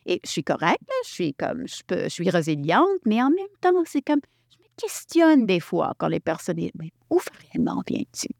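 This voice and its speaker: A woman from Quebec leading a workshop, talking in an animated way and restarting her sentences often. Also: on the low side, normal speed, gravelly, no room sound, clean studio sound. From Quebec, Canada. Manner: animated, thinks aloud with many restarts. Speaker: workshop facilitator. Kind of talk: workshop talk.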